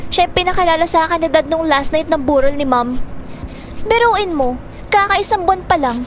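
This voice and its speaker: A woman's voice: very high voice, a little fast, slight background noise. A woman playing an angry, grieving young daughter in an audio drama, confrontational and accusing. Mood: bitter venting. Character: angry, grieving young daughter resenting her father's girlfriend.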